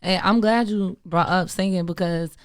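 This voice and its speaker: A woman hosts a radio interview in a fairly low voice. She asks relaxed, slangy questions and drops short affirmations. The sound is dry and close. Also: normal speed, clear, resonant tone, no echo. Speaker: radio show host. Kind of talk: casual interview.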